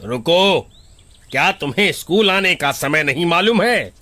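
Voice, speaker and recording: A woman voicing a stern schoolteacher who chides and questions in an animated story. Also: on the low side, unhurried, dry recording. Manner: scolding, questioning.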